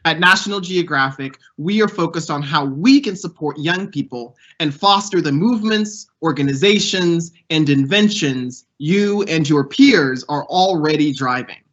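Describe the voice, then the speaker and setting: Rich: A young man with a bright, fairly high voice speaks with earnest purpose and lifts his pitch on each item of a list. The sound is dull and lo-fi, typical of audio from a video call. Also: moderate pace, hardly any pauses. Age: young adult. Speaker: youth program fellow hosting a panel. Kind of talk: prepared speech and interview.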